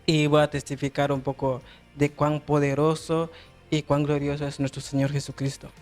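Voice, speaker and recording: Young Guatemalan man, higher-pitched voice. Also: level tone, moderate pace, darkish tone, soft background music. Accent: Guatemalan Spanish. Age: young adult. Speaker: guest giving a religious testimony. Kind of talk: personal testimony.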